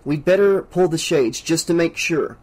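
A man's voice: fairly high pitch, moderate pace, a little mellow, natural voice. Cautious young man, earnest and urgent, slightly raised voice, as the narrator plays him. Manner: urgent, careful.

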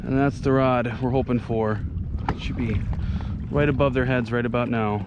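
A man's voice: medium pitch, fairly even intonation, normal speed, background noise. A man in his thirties giving casual running remarks for a fishing vlog, recorded outdoors on an action camera close by. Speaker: fishing vlogger. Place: outdoors on a kayak on open water and on a beach.

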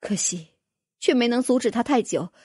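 A woman's voice: on the high side, animated, moderate pace, dark tone, slightly rough, no echo, studio quality. A woman voicing an anxious dragon noblewoman in a fantasy audiobook, earnestly recounting a frightening ordeal with apprehension. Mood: distressed.

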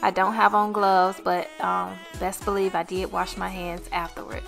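A woman's voice: on the low side, flat delivery, moderate pace, creaky. An American woman giving a casual, chatty voice-over narration as a vlog host. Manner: chatty, informal. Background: background music.

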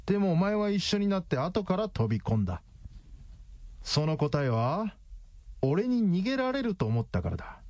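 A man playing a tough, defiant detective hero in a radio drama, speaking in a rough, taunting, deliberate way. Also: high voice, big pitch swings, darkish tone, dry recording. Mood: smug, analytical.

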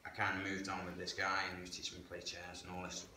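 A low-voiced man tells his story in a frank, plain-spoken, conversational way. He is picked up by a distant camera in a reverberant church hall, and a steady hiss sits under his voice. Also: racing. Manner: frank, plain-spoken, chatty with local slang. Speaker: guest sharing life story. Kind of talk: candid personal testimony. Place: church hall, indoors, medium-sized. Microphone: far camera. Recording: echoey hall with background hiss.

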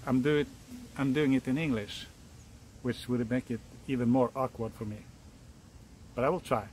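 A man in his forties from Norway, speaking English with a Norwegian accent, explaining a hobby project in a halting, self-correcting way. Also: mid-range voice, darkish tone, slight background noise, low-quality recording. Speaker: hobbyist YouTuber. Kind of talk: casual DIY explainer. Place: outdoors on a hilltop, then indoors at a workbench.

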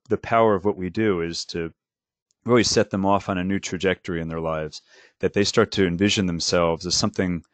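Man, lowish voice, dry close sound, halting teacher talk on a video call, lots of restarts. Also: dry recording. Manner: halting, many restarts and fillers. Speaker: language teacher, panel co-host. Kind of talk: casual panel discussion.

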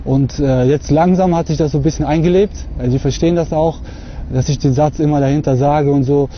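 A young man from Russia talking to camera outdoors on a lawn, earnest and hesitant, filling pauses often. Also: on the high side, steady tone, lo-fi sound, a little hiss or hum. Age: young adult. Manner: hesitant, many fillers, earnest. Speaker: convert giving a testimony. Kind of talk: unscripted talk to camera.

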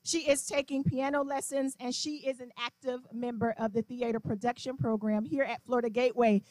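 A young woman emcees with brisk, encouraging introductions. Her voice is high and clear, heard close and dry through a handheld microphone. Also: fairly even intonation, a little mellow, dry recording. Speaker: program emcee. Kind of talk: event hosting. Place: large gymnasium auditorium.

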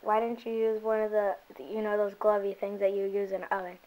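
A woman voicing a timid plush toy kitchen assistant who asks meek, quiet questions in a noisy home kitchen recording. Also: mid-range voice, level tone, fairly quick, natural voice.

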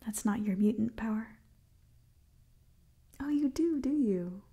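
Breathy whispering young female doctor, acted by a woman. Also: a little high, lively intonation, a little slow, full character voice. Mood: wry, chiding. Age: 20s. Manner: gently scolding. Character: caring, chiding young female doctor to mutant heroes. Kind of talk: whispered ASMR medical roleplay. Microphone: close microphone.

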